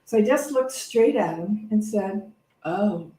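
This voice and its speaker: A woman's voice: medium pitch, animated, slow pace, warm tone. A woman reverend giving a conversational, unscripted inspirational talk, reflective and anecdotal, often repeating phrases as she feels her way along. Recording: echoey room, distant microphone.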